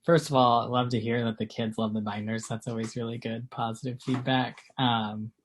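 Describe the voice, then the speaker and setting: A man's voice: mid-range voice, little pitch movement, normal speed, a little hiss or hum. A man in his late twenties from Texas, co-owner of a small clothing company, answering interview questions in a chatty, filler-heavy way. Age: 20s. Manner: chatty, lots of fillers, laughs easily.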